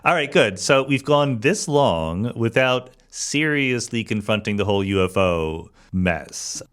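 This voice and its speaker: A man's voice: medium pitch, lively intonation, measured pace, a bit bright, clear, resonant tone. A man hosting a podcast interview in a conversational, informal way with wry, playful phrasing. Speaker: podcast host.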